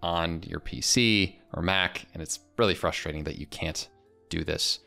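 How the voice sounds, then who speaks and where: Deep-voiced young American man presenting. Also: measured pace, creaky, sharp tone, soft background music. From United States. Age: 20s. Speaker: presenter of software review videos. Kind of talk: casual product demo narration. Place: small indoor home studio. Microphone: close desk microphone.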